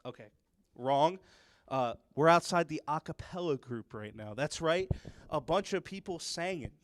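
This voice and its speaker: A man's voice: on the high side, lively intonation, measured pace, dry recording. A young man reporting from a university campus, talking chattily straight to the viewer. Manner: chatty, direct to camera. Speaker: student reporter. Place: campus outdoors and hallway.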